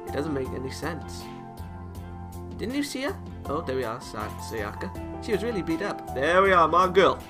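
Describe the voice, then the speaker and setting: A man hosting a let's play, reading a visual novel aloud and breaking off into casual, joking asides. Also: on the high side. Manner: breaks off into joking, teasing remarks on the story. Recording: game music behind voice. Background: background music from the game.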